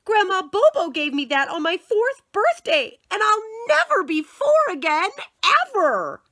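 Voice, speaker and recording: A theatrical little boy from a storybook, whining and pleading over his toys, as a woman reads him aloud. His voice sits high and swoops about, bright and forceful. He drags out his protests slowly and loudly. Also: sing-song, dry recording, studio quality, full character voice. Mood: dramatic pleading. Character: dramatic young boy clinging to his toys.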